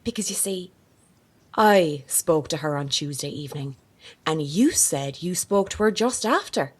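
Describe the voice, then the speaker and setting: A woman playing a sharp-witted, persistent investigative reporter in an audio drama, with an articulate, probing manner. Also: deep voice, lively intonation, measured pace, husky, exaggerated voice. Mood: pointed, confrontational. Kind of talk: naturalistic audio drama acting.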